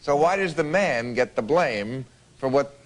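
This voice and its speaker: A man's voice: medium pitch, lively intonation, a little slow. A middle-aged man hosting a talk show, wry and joking while arguing points in a studio before an audience.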